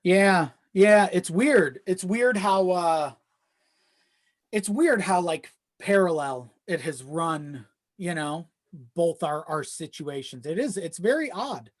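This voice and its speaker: Podcast guest for casual conversation: mid-pitched voice, self-deprecating, loose and profane. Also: lively intonation, takes it slowly. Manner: informal, sweary, self-deprecating. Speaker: video editor.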